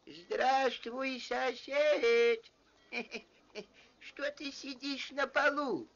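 A man voicing a poor, kindly old organ-grinder in a vintage cartoon, sighing and gently fatherly. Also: very high voice, steady tone, extremely slow, creaky, a little hiss or hum, full character voice. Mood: weary, sighing. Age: older. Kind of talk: vintage cartoon character acting. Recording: muffled old film soundtrack with music.

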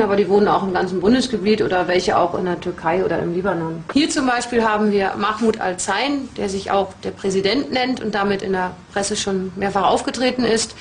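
A woman's voice: mid-range voice, echoey room, slight background noise. A woman police investigator explaining case evidence in an interview in a matter-of-fact, explanatory way.

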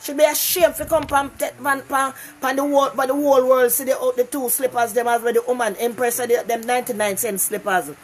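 A woman's voice: high voice, fairly even intonation, a little fast, hardly any pauses, breathy edge. An older Jamaican woman hosting a casual live-stream talk show, chatting animatedly with viewers from her living room and repeating herself for emphasis. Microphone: phone camera close up.

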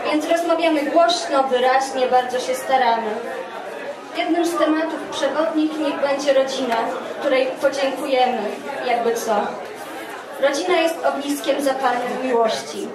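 High-voiced young woman reading poetry. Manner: reads from a book in short measured phrases. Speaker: poet reading own work at a festival. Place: dark stage at a live poetry event, indoors. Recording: roomy live stage sound, distant, audience around.

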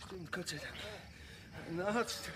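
A nervous protective dad in an audio drama, highish voice, noisy outdoor background. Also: male voice, takes it slowly. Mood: panicked. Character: anxious, protective father dragged into vigilante violence.

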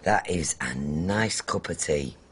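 A man's voice: rumbling low, lively intonation, slow pace, soft background music, exaggerated voice. A man playing a chatty, fussy, self-satisfied married woman in a comedy bedtime sketch, with coy, needling prattle. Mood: smug, fussy. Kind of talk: domestic comedy sketch dialogue.

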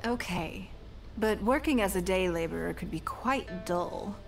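An actress voicing a poised, wealthy female leader in a game, speaking in a measured, refined, self-assured way. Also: on the low side, vocal fry, over music. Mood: composed. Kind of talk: game character dialogue.

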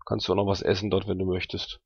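A man's voice: sits low, level tone, quick pace, husky, no room sound, dull audio. A man playing a tabletop roleplaying game, chatting in character with teasing, grumbling banter. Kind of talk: casual in-character game chat.

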